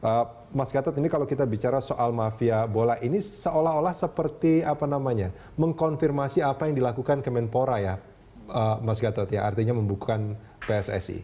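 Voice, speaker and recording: A man's voice: mid-range voice, fairly quick, mellow. A man hosting a news talk show, presenting and putting questions in a conversational studio style.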